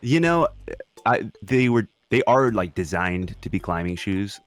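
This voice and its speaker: A man's voice: fairly low pitch, big pitch swings, measured pace, no echo. A young man co-hosting a podcast in casual chat, talking in a slangy, mildly profane way. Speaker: podcast co-host.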